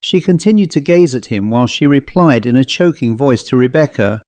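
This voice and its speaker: A male audiobook narrator reads literary fiction in a measured, formal way. His pitch is medium and the sound is very dry and close. It is scripted storytelling throughout. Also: animated, darkish tone, dry recording.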